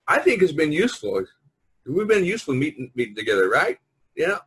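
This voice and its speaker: A middle-aged man with a husky, slightly rough voice of ordinary pitch. He talks casually on a group video call, circling back and rephrasing as he goes. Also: sing-song, a little fast, a bit bright. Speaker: podcast host. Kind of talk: casual group chat among friends. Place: online video conference call.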